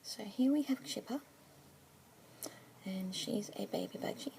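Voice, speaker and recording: A young woman in a whispered ASMR roleplay as a pet adoption consultant, speaking slowly and gently in a soft unvoiced whisper. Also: medium pitch, vocal fry, slight background noise.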